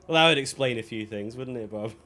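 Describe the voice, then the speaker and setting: A man's voice: medium pitch, fast, sharp tone. Male game streamer, casual and conversational, rambling storyteller with dry asides. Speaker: game livestreamer. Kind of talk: casual chat while playing a video game.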